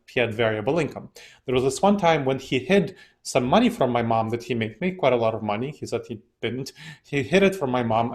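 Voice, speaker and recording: A man whose first language is not English, a guest in a casual interview, telling personal stories in a relaxed way and laughing often. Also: medium pitch. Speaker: interview guest, decision-making expert.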